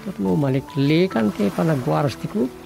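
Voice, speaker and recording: Creaky female voice, Ilocano accent, woman acting as scheming wisecracking councillor, radio drama comedy, music underneath. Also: low voice, animated, fairly quick, full character voice.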